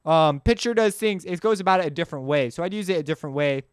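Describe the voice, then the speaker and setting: Casual man with a clear, higher-pitched voice. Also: lively intonation, fast talker, no room sound, studio quality. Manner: informal, slangy, rambling. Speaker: music software tutorial host. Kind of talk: casual off-the-cuff software walkthrough.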